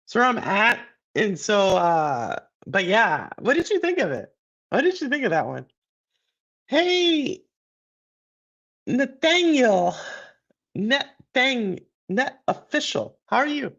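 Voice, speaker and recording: A man hosting a casual gaming podcast, his throat sore and his voice hoarse and deeper than usual, talking in a rambling way with many fillers and restarts. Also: big pitch swings, slow, fairly bright tone, highs cut off. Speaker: podcast host and streamer. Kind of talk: casual gaming and business commentary.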